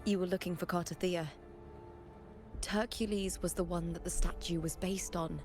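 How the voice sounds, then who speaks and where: An actress playing a proud, bold young warrior woman in a video game, telling of heroes in a measured, earnest way. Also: on the low side, fairly even intonation, darkish tone, natural voice. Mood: solemn, reverent. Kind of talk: scripted video game dialogue. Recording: game audio over background music.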